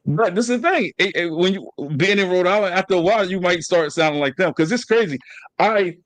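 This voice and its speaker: A man's voice: a little fast, no echo. A middle-aged man from New Jersey with an American accent that carries a Southern tinge, hosting a casual interview and rambling with many restarts. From New Jersey, USA. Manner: rambling, restarts a lot, animated pitch jumps. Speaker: podcast host.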